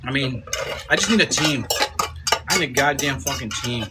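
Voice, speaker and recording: A man's voice: medium pitch, lively intonation, normal speed, very noisy. A man in his fifties chatting casually on a live stream, rambling, repeating himself and swearing as he reads comments. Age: 50s. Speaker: food content creator streaming live. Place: home, Chicago. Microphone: phone.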